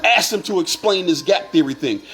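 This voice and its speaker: A man's voice: sits high, animated, normal speed, faint music behind. Fired-up man preaching to viewers. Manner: preachy, repetitive, rising to shouts. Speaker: online commentator. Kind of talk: impassioned monologue to viewers.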